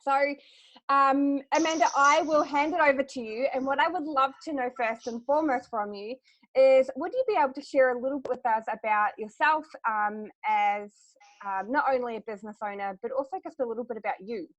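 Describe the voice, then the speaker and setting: Bright-voiced woman hosting an interview. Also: high voice, a little fast, dry recording, dull audio. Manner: friendly, effusive with praise, many fillers. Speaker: host. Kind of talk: interview host.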